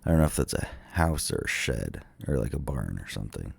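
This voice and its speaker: A sick man with a cold thinks aloud in a casual, hesitant, musing way, with many ums and trailing pauses, as he narrates a vlog. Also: very deep voice, big pitch swings, vocal fry, husky, no echo. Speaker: vlogger touring tornado damage on a map.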